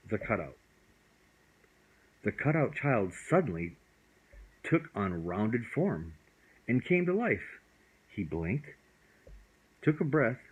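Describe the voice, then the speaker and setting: A man's voice: medium pitch, husky, poor audio. A man reading a bedtime story aloud as storyteller, expressively and with the odd stumble over words.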